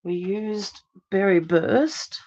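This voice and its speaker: A woman from Australia, a little hoarse after laryngitis, giving a chatty step-by-step live card-making demonstration at her craft table. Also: medium pitch, extremely slow, highs cut off, a little hiss or hum. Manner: chatty, steady step-by-step instructions.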